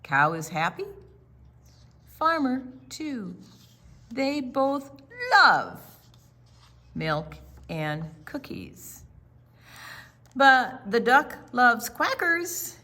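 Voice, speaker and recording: A woman co-hosts story time for young children with a playful delivery. She speaks very slowly in a higher voice, with big expressive rises and falls. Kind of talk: storybook read-aloud and chat for young children.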